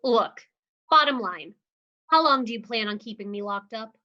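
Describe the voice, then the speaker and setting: A woman's voice: fairly high pitch, lively intonation, moderate pace, lightly acted. An actress playing a defiant, sarcastic young woman held in a mental hospital. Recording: slightly dull lo-fi livestream audio.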